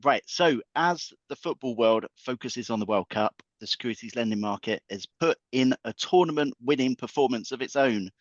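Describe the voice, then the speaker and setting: A man's voice: medium pitch, expressive, normal speed, few pauses, no echo, lo-fi sound. A man on a podcast as a guest market analyst, chatting playfully and packing his points with football metaphors. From England. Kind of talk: podcast chat.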